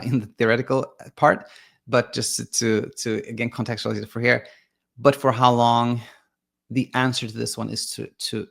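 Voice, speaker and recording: A man's voice: mid-range voice, creaky. A man whose first language is Swedish, working as a sleep coach, explaining ideas in a hesitant way with many restarts and fillers. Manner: reads viewer comments aloud. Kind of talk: explanatory monologue.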